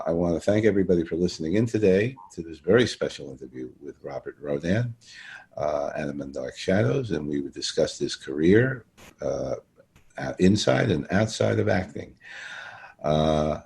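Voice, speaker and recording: A man hosting a podcast interview, speaking informally and haltingly to his guest. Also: deep voice, vocal fry, mellow, breathy edge. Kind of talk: informal interview with hesitant, repetitive sign-offs.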